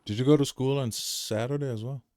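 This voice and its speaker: A man's voice: mid-range voice, steady tone, brisk, darkish tone, dry recording, clean studio sound. A man hosting a podcast, chatting reflectively and casually with frequent fillers. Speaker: podcast host. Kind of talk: casual conversational podcast chat.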